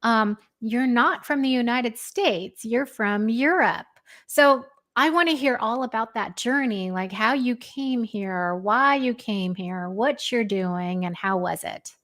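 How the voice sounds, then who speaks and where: A woman hosting an interview, chatty and eager, firing off quick questions to her guest. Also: on the high side, a bit bright. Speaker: host. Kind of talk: casual interview.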